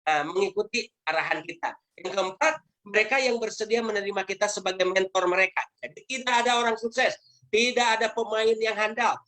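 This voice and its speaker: A woman's voice: mid-range voice, a bit bright. A woman leading a motivational network marketing training over a video call, speaking emphatically in a lecturing manner and posing rhetorical questions.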